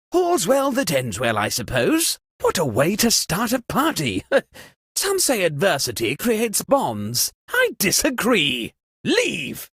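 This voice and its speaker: A man's voice: high voice, fairly bright tone, breathy edge, no echo, studio quality, full character voice. A man voicing a fussy, exasperated upper-class British party host in a comic video game, with a pompous, theatrical and sardonic delivery.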